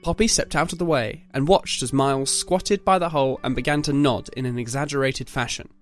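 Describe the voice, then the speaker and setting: Man, narrator, scripted dramatic storytelling, medium pitch, faint music behind. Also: fairly quick.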